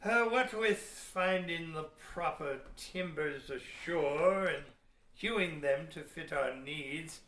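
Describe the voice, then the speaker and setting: An actor playing a cunning, gruff seventeenth-century English ship's captain in an audio play, declaiming emphatically in archaic speech. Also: male voice, a little high, slow, pushed and bright. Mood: grave, insistent. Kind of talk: old-fashioned radio play acting. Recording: roomy, echoey audio drama recording.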